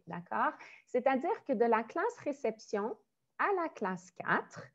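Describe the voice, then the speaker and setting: A woman's voice: medium pitch, a little mellow, highs cut off. A woman in her forties from Quebec, with a Quebec French accent, giving a friendly, explanatory online presentation as a primary school teacher. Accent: Quebec French with some Belgian French. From Quebec, Canada. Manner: friendly, explanatory, with frequent "euh" and small laughs.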